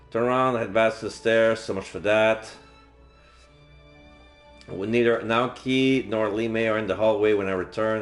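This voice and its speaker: A man streaming a game, reading a visual novel's story aloud in a measured, narrative manner. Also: lowish voice. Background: faint game music.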